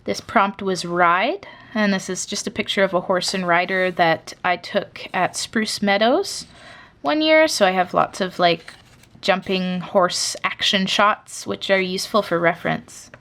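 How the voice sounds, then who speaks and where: A woman's voice: mid-range voice, unhurried, fairly bright tone. A young woman from Canada chatting casually through a sketchbook flip-through, rambling with lots of fillers. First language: English. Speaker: artist showing her sketchbook.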